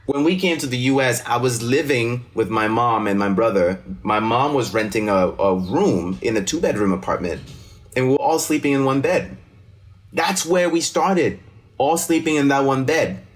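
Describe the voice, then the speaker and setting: A man's voice: mid-range voice, normal speed. A man from Cameroon, a non-native English speaker, telling personal stories expressively and emphatically as a teacher being interviewed. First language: not English. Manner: expressive, emphatic, often checks with "right?". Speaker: teacher and actor, interview guest.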